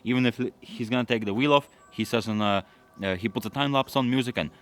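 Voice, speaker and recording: A man who grew up in London, speaking Russian as his first language, answering viewer questions in a relaxed, rambling casual chat. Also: medium pitch, fast. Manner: relaxed, rambling, with frequent fillers and restarts. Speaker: automotive YouTuber.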